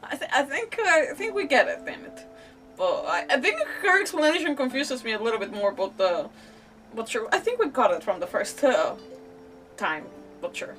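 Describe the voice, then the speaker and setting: A woman streaming a game in a fairly high, very lively voice. She reacts casually, rambling and swearing mildly, a little away from the mic with faint music behind. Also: moderate pace, distant mic, rough recording. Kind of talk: casual live gameplay commentary.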